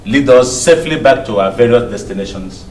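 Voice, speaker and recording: A roomy recording with faint rumbling noise of a man acting a Nigerian state governor in a TV drama. His voice is medium in pitch, and he speaks in a courteous, ceremonial and devout way. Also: lively intonation, darkish tone, far from the mic, lightly acted. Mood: courteous, solemn. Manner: formal, measured, ceremonial.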